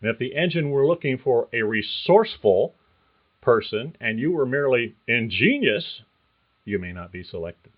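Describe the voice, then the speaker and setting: An older man talks through a scripted career-advice podcast at a measured, earnest pace. His voice sits in a middle pitch. It comes through thin and tinny, like a phone line. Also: expressive. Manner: measured, earnest, reads like prepared narration. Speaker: podcast host and career coach.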